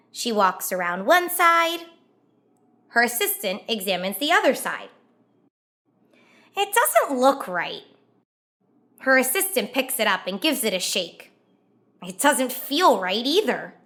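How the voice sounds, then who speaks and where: A woman reading a children's picture book aloud as a storyteller, expressively and with drawn-out emphasis on key words. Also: on the high side, sharp tone. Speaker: children's read-aloud host.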